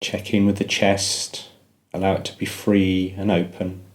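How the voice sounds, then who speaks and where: Male meditation guide, low-pitched voice, gentle measured delivery with pauses. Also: monotone.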